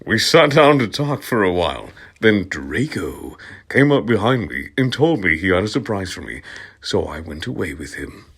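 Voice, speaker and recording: A man reading fan fiction aloud in a dramatic comic style, sardonic and theatrical, dropping into murmurs and rising into loud outbursts. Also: sits low, very animated, normal speed, husky.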